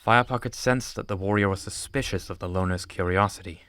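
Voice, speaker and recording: Low-voiced man narrating, close and dry. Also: fast, a bit bright, clear, resonant tone, dry recording. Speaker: audiobook narrator. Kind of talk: scripted story narration.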